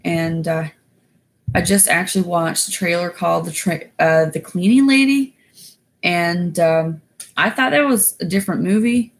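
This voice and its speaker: A woman with a raspy voice chatting casually on a livestream, rambling with many fillers. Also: on the low side, unhurried. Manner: rambling, loose, lots of fillers. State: winded after exercising. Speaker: YouTuber going live.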